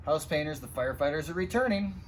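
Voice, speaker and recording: A man reading a children's picture book aloud in an animated, expressive way, with asides to young listeners. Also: fairly high pitch, fast, a little hiss or hum, poor audio. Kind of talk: animated storytime read-aloud.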